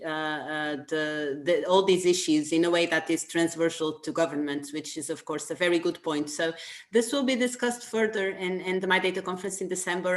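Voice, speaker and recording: A woman from Portugal speaking on an online panel, animated and quick to laugh, with frequent hesitations. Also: lowish voice.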